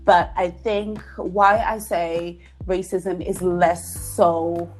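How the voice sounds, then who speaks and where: A woman's voice: on the low side, flat delivery, slow, soft background music, low-quality recording. A young woman from Zimbabwe co-hosting a podcast, talking reflectively and thinking aloud in casual chat. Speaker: podcast co-host.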